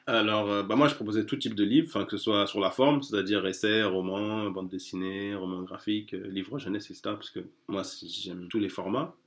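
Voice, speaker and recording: Soft-spoken hesitant man, low voice. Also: steady tone, brisk, hardly any pauses. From France. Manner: hesitant, many fillers and restarts. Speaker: guest. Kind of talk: casual interview chat.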